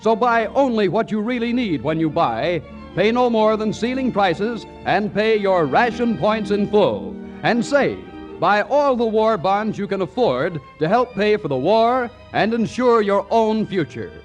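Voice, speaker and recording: A man's voice: high-pitched, expressive, unhurried, lo-fi sound, faint music behind. A man reading scripted announcements in 1940s radio style on an old broadcast recording.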